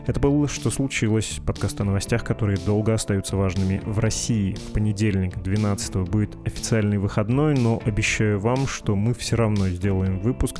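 A man hosting a podcast, wrapping up the episode and reading out listener mail in a wry, self-deprecating way. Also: lowish voice. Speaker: podcast host. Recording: music bed under voice.

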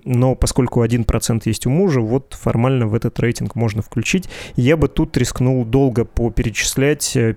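A man's voice: medium pitch, darkish tone, no echo. A man hosting a podcast in a conversational style, wordy and wry with playful asides. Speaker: podcast host.

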